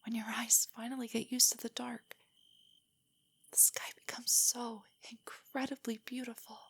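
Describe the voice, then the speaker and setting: A woman playing a shy, nerdy young girlfriend in an intimate audio roleplay, soft and breathy, stammering with nerves. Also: a little high, little pitch movement, unhurried. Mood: awed, soft. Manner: nervous, stammering, hesitant rambling. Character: shy, nerdy, easily flustered young adult girlfriend on a date.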